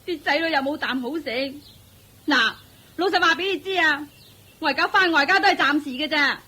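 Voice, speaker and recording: An actress playing a bitter, fed-up young wife in a radio drama, complaining with contempt in a Hong Kong Cantonese accent. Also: female voice, sits high, fairly quick, bright, forceful tone. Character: bitter, fed-up young wife scorning her poor husband.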